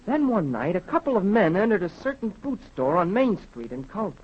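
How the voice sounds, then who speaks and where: A man's voice: high-pitched, big pitch swings, fairly quick, slightly rough, heavily acted. An American male actor playing an earnest, polite boy telling his adventure in a 1930s radio drama. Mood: earnest narrating. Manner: polite, eager. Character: earnest, eager boy storyteller Jimmy. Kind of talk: 1930s radio acting, narrating. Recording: dull, muffled 1930s radio recording.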